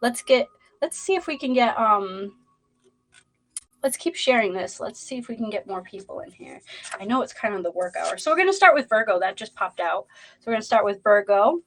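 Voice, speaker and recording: A woman's voice: mid-range voice, expressive, fairly quick, fairly bright tone, rough recording. Boston lady doing a live zodiac reading, rambly, lots of ums, talks to the chat. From Boston, USA. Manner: rambling, many fillers, greets chat viewers. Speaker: tarot reader hosting a livestream. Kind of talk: casual livestream chat.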